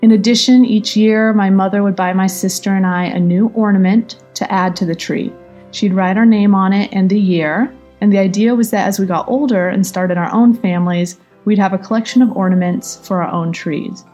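A woman's voice: mid-range voice, fairly even intonation, normal speed, warm tone, muffled recording. A woman contributing to a podcast, giving a prepared personal reminiscence. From United States, California. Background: music bed.

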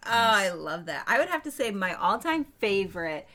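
Casting: young female co-host, bright mid-pitched voice, enthusiastic. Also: sing-song. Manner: enthusiastic, quick affirmations. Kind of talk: casual chat.

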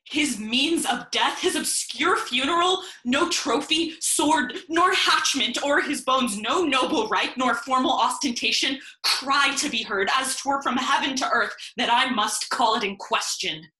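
A woman's voice: high-pitched, a little slow, few pauses, pushed and bright. A woman playing a hot-tempered, grieving young nobleman in a classical audio play, recorded in an echoing room. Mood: outraged grief. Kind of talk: classical stage acting for audio.

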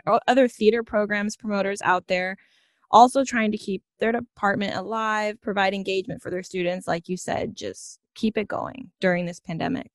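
A woman with an average-pitched voice hosts an interview. She talks informally and conversationally, and the sound is dry with very little room echo. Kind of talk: casual interview chat.

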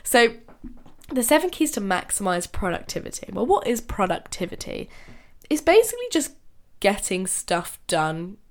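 A woman's voice: medium pitch, very animated, moderate pace. A young woman hosting her own podcast, sounding nasal because she is ill, chatting casually, laughing often and restarting sentences. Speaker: podcast host. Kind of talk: casual solo podcast talk.

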